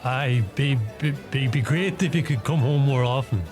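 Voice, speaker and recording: Over music, a proud Irish country bachelor, acted by a man, stammers and repeats his words. His voice sits at a medium pitch, in radio drama style. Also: moderate pace, lightly acted. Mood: eager. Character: stammering, proud small farmer, pub bachelor. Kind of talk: radio play acting. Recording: music under the voice.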